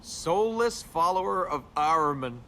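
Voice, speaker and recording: Casting: flippant young rogue adventurer for a video game, very lively pitch swings, sarcastic banter, clear background noise. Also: male voice, unhurried, heavily acted. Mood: playful. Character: cocky, wisecracking young male adventurer and tomb robber.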